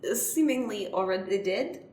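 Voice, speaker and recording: A woman's voice: medium pitch, expressive, moderate pace, darkish tone, close to an everyday voice. Woman playing a brash foreign lady lawyer, roomy echo. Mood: probing, assured. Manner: clipped broken English, drops articles. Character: brash ex-dominatrix woman, newly qualified lawyer, foreign-sounding. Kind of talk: improvised comedic radio play acting. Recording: roomy echo, clear background noise.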